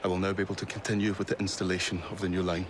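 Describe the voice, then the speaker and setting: An actor playing an earnest, courteous and easily flustered Victorian inventor in a video game. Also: male voice, low voice, level tone, racing, noisy background, lightly acted. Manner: flustered, hesitant, old-fashioned polite. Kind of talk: video game cutscene acting.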